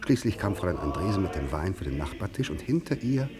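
A man with a fairly low voice narrates a literary radio drama. He tells the story expressively, with very prominent music playing behind him. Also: very animated, quick pace.

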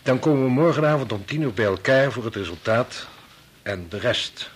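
An actor with a Netherlands Dutch accent playing a decisive, matter-of-fact medical researcher steering a meeting in a radio drama. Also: male voice, medium pitch, animated, moderate pace, a little hiss or hum, close to an everyday voice. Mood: measured, firm.

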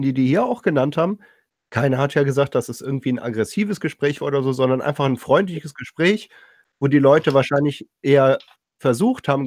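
A man in a casual podcast discussion, arguing his point calmly. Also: mid-range voice, no room sound, studio quality. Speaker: podcast panelist.

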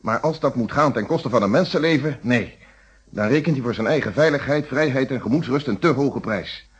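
An actor playing a calm, principled middle-aged police inspector in a Dutch radio detective play, speaking in formal, articulate explanations. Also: male voice, mid-range voice, brisk, breathy edge. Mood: firm, earnest. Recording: dull, muffled old radio recording.

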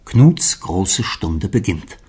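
A man's voice: sits low, very animated, very slow, darkish tone, breathy edge. A man narrating a radio drama as a scripted, dramatic storyteller.

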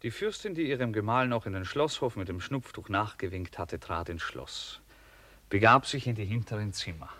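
A man narrating a literary radio play in a measured, formal storytelling manner. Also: fairly low pitch, expressive, sharp tone.

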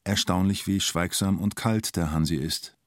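An actor playing a reflective narrator of a police siege, speaking in terse, understated observations for a radio drama. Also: male voice, low-pitched, normal speed, dry recording, studio quality, natural voice. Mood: pensive observing. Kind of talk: literary radio drama narration in present tense.

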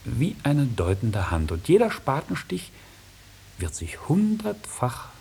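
An actor playing a sly, mocking, melancholy old dragon who knows everything, declaiming theatrically in a 1950s German radio play. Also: male voice, mid-range voice, wildly swooping pitch, takes it slowly, gravelly, heavily acted. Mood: solemn, mysterious. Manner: theatrical, declaiming, swooping from hushed to loud. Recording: hissy 1950s radio recording.

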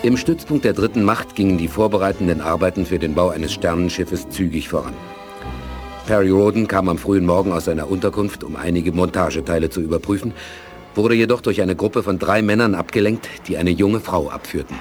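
An actor as the measured narrator of a science fiction radio play, delivering scripted storytelling. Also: male voice, sits low, soft background music, natural voice.

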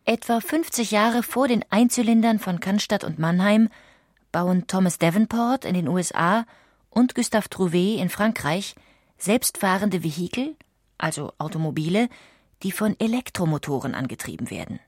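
A woman reading scripted documentary narration in a measured, factual manner. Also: medium pitch, expressive, a little mellow, no echo, clean studio sound.

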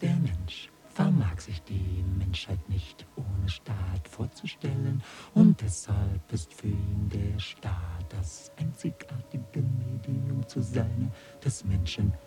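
An actor playing a lofty, philosophical alien authority in a German radio drama, lecturing in a condescending way. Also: male voice, lowish voice, lively intonation, slow pace, over music. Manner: didactic, condescending.

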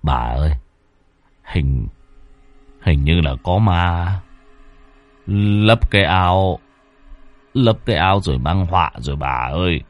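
A man voicing a timid, frightened old husband who stammers haltingly in an audio ghost story. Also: deep voice, expressive, dry recording, lo-fi sound, pitched below the performer's own voice. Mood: fearful.

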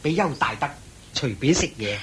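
An actor playing a devout lay host who eagerly and respectfully serves the Buddha, in a radio play. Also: male voice, fairly high pitch, expressive, moderate pace, slight background noise, natural voice. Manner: respectful, eager, fussing politely. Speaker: householder hosting the Buddha. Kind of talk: Buddhist radio drama acting.

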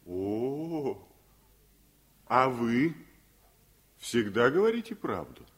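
Student tutor, radio drama: husky mid-pitched voice, swooping lively intonation, courteous. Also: male voice, very slow, full character voice. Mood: playful, warm. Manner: courteous, animated. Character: friendly student tutor, playful with children. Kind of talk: 1950s Soviet radio play acting.

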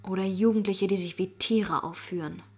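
Husky, defiant young woman. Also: mid-range voice, steady tone, normal speed, a little mellow. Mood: rigid, resentful. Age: young adult. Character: defiant, morally rigid young woman loyal to her fiancé. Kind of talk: German radio crime drama acting.

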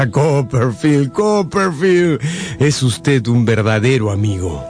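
Shabby middle-aged gentleman, slow drawn-out delivery, highish voice, grandiloquent, radio drama over music. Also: animated. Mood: effusive, weary.

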